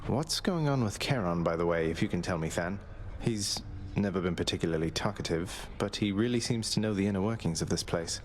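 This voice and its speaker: Man as a deep-voiced wry prince. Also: a little fast, hardly any pauses, close to an everyday voice. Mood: wry, earnest. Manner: polite, dry, casual. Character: young rebellious underworld prince, wry and kindly. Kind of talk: video game character dialogue.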